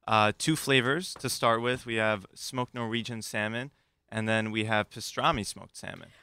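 A man's voice: fairly low pitch, moderate pace, pushed and bright, clean tone, no echo. A man speaking as a guest on a radio show in a studio, plain and matter-of-fact. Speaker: guest, food company owner. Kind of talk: radio interview.

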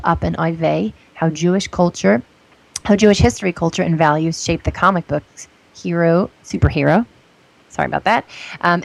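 Earnest low-voiced woman podcast host. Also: rough recording. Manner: earnest, many "um"s and "like"s. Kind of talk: casual podcast interview.

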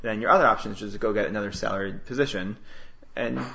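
A man from Queens, New York, answering interview questions as a startup founder, casually and with halting, self-correcting explanations. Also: on the low side, creaky, a bit bright, muffled recording, a little hiss or hum. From Queens, New York, United States.

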